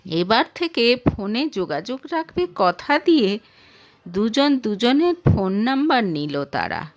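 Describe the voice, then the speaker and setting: High-pitched, animated woman storyteller, slow pace. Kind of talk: scripted dramatic audio story narration.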